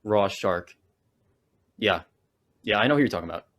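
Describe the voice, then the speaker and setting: A man playing a jaded, intuitive police detective in a comic drama, with a husky voice and a wry manner. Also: fairly low pitch, expressive, normal speed, a bit bright. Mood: exasperated, eager. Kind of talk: naturalistic comic adaptation acting.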